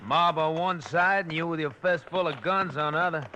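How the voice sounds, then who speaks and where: An actor playing a wry, unrepentant outlaw prisoner with folksy cowboy talk in a 1950s western radio drama. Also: male voice, a little high, moderate pace, bright, forceful tone. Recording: faintly noisy vintage radio recording.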